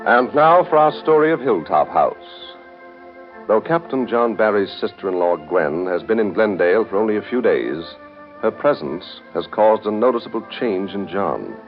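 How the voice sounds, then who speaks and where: A man's voice: fairly low pitch, expressive, moderate pace. An American man announcing and narrating a 1930s radio serial from a script. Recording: dull, band-limited 1930s radio broadcast. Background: music.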